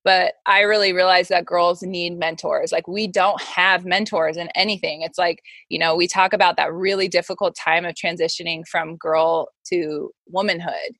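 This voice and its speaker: A woman's voice: on the low side, fairly even intonation, normal speed, hardly any pauses, fairly bright tone, no room sound. A woman in her thirties talking casually as an interview guest, candid and emphatic, sprinkling in "like" and voicing quotes as she goes. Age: 30s. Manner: candid, emphatic, peppers talk with "like" and acted-out quotes. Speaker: interview guest, modeling agency founder and mentor.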